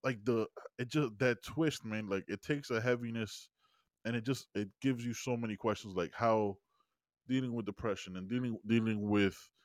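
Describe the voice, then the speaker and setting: Lively low-voiced man telling stories. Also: moderate pace, dry recording, studio quality. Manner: animated storytelling, says "you know what I mean". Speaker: podcast co-host. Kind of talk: casual review chat.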